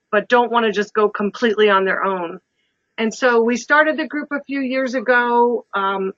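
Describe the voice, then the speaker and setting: A woman's voice: on the high side, sharp tone, no echo. Chatty woman with a muffled dry sound. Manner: chatty, rambling with restarts. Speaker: travel agent and group organizer. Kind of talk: informal presentation to a group.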